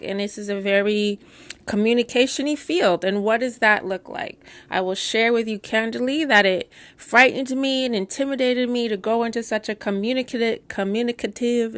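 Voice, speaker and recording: A middle-aged woman doula who stutters, giving candid, warm advice to new doulas in a casual talk with playful asides. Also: a little high, normal speed, no echo. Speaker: doula and course teacher.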